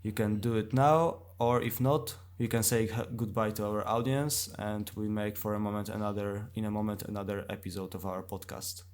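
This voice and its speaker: A man with a non-native English accent co-hosting a casual podcast conversation, speaking haltingly as he searches for words. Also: lowish voice, fairly even intonation. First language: not English. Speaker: podcast co-host.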